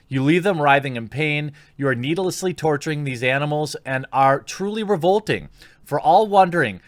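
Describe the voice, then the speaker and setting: A man's voice: mid-range voice, a bit bright, no echo. A man giving disapproving, emphatic opinion commentary as an online host, moving between reading out and speaking off the cuff.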